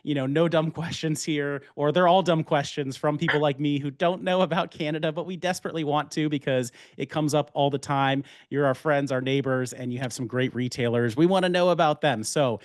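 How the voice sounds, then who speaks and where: A man's voice: on the high side, fairly even intonation, a little fast, hardly any pauses, dry recording, studio quality. A man hosting a webinar, opening it in an upbeat, self-deprecating way. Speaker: webinar host. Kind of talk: webinar introduction.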